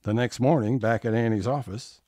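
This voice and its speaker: A man's voice: medium pitch, steady tone, moderate pace, dark tone, lightly acted. A man narrating scene changes in a scripted audio theatre comedy.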